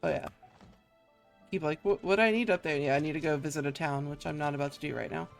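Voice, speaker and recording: A woman's voice: low-pitched, fast, creaky. A woman giving casual, slangy, joking commentary as she plays a video game. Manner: laid-back, slangy, joking. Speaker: gamer streaming a playthrough. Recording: game music behind.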